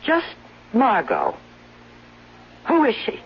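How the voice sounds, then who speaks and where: A woman's voice: on the high side, wildly swooping pitch, slow pace, husky, heavily acted. A woman acting a fearful, clinging invalid wife in a 1970s radio drama, pleading plaintively and emotionally. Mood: guarded suspicion. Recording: noisy dull vintage radio broadcast.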